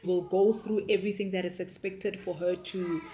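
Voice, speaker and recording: South African woman, 30s, low-ish voice, relaxed homeschool vlog chat, roomy sound. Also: moderate pace, soft background music. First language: not Afrikaans. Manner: relaxed, many ums and restarts. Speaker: homeschooling mom and vlogger. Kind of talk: casual explainer vlog.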